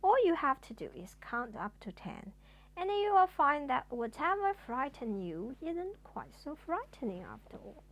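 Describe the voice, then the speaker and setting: A woman voicing a kind, gentle male tramp in a children's storybook, patient and soothing. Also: high voice, very animated, unhurried, full character voice. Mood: reassuring.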